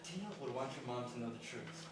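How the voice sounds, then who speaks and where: A man's voice: mid-range voice, animated, fast, natural voice. A man in a staged play reading, playing an earnest, insistent young man. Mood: defensive, insistent. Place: echoey room at a staged reading, far mic. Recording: distant mic, echoey noisy room.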